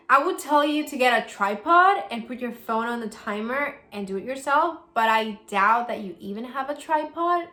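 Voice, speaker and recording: A woman's voice: fairly high pitch, pushed and bright. A young woman from El Salvador, chatting casually as she answers viewer questions, full of "like" fillers. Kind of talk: casual Q&A vlog. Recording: roomy sound with faint music.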